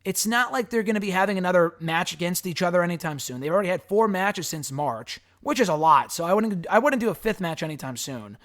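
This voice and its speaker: A man with a hoarse, raspy voice hosting a wrestling show, answering fan questions in a rambling, frank and casual way. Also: high-pitched, expressive, quick pace, few pauses, fairly bright tone. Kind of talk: casual solo commentary.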